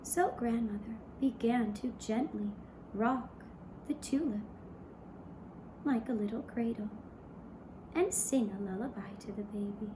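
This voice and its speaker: A woman narrating a fairy tale for a puppet show in a gentle, hushed, deliberate storytelling manner. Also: fairly high pitch, reverberant, background noise. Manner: slow, hushed, deliberate storytelling in short phrases.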